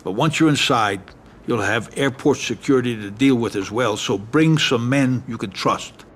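An actor playing a composed, careful mob advisor in a game cutscene, measured and matter-of-fact. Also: male voice, medium pitch, a little hiss or hum, natural voice. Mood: cautious, matter-of-fact. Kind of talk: scripted video game cutscene acting.

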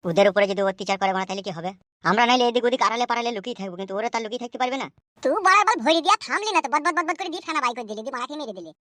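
A man's voice: extremely high pitch, expressive, very fast, bright, forceful tone, no echo, clean studio sound, full character voice. A man voicing an anxious, foul-mouthed young village troublemaker in a comedy cartoon, bluntly arguing in a rural West Bengal accent. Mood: tense, bickering. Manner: blunt, argumentative, rambling.

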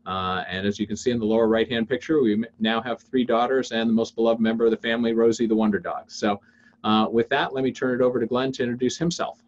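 An American man in his fifties presenting a webinar informally, with halting delivery and frequent ums. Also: medium pitch, steady tone, lo-fi sound, a little hiss or hum. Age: 50s. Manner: halting, frequent filled pauses and restarts. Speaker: webinar host and investing teacher. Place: indoors on a video call.

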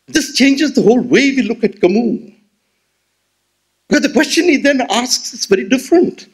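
A man's voice: sits high, breathy edge. A man in his sixties with an Indian English accent, giving a memorial lecture at a podium in an auditorium, speaking with rhetorical flair. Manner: expressive, rhetorical, gestures while speaking. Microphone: podium gooseneck mic.

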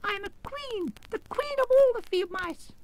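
A storyteller voicing a tiny, regal field mouse queen with a squeaky little voice and a prim, imperious manner. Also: lively intonation, moderate pace. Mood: indignant.

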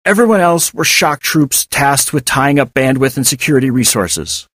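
A man's voice: mid-range voice, lively intonation, moderate pace, a bit bright, slightly rough, no room sound, clean studio sound, voice pushed up. A man voicing a trapped, weakening but defiant male hacker in audiobook dialogue, strained and emphatic. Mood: struggling.

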